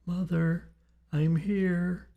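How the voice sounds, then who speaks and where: A man's voice: high-pitched, level tone, extremely slow, gravelly, phone-quality sound, voice pushed up, exaggerated voice. A man voicing a frail young boy's ghost in an audiobook, with a wavering, comforting way of speaking. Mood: gentle, comforting.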